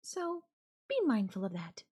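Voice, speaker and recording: A woman's voice: medium pitch, sing-song, measured pace, a little mellow, husky. A woman voicing a possessive yandere girlfriend in an audio roleplay, coaxing and teasing her captive with veiled threat. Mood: quietly threatening. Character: possessive yandere girlfriend, sweetly menacing captor. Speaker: voice actor.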